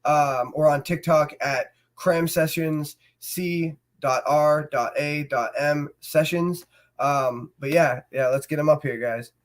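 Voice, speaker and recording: A man's voice: fairly high pitch, slow pace. Stuffy-voiced male show host. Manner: relaxed, filler-heavy, warm encouragement. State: stuffy with a cold. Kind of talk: casual show hosting.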